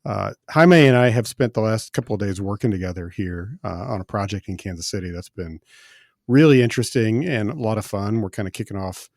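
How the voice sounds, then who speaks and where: A middle-aged man from the American Midwest hosting a podcast in a studio, chatting casually and relaxed with plenty of filler words. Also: fairly low pitch, fairly quick, no room sound. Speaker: podcast host. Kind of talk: casual interview chat. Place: recording studio.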